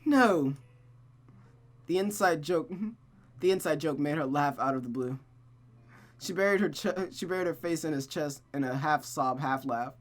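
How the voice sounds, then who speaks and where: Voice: mid-range voice, unhurried. A playful, jokey streamer who reads a visual novel aloud, moving between casual chat and dramatic story narration.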